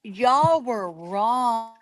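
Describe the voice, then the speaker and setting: A woman's voice: on the high side, expressive, drawn-out words. A woman joining a casual live stream by phone, with playful, bubbly banter. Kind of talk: casual group chat. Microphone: phone on a video call.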